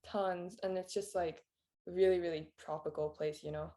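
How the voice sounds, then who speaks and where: A woman's voice: fairly low pitch, fairly even intonation, normal speed, warm tone. A teenage girl chatting casually as an interview guest, laughing often and filling her talk with "like". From Philippines. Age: teens. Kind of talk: casual chat.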